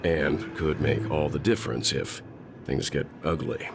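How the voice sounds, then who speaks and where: Deep, steady mature gentleman. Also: vocal fry, background noise, heavily acted. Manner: reassuring, polite, deliberate. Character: calm, steady, courteous mature male mentor. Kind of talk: video game voice acting.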